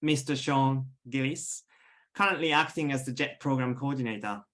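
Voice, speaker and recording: A man's voice: mid-range voice, a bit bright. A man moderating an online session, giving a formal and polite introduction. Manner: polite, formal, slightly halting.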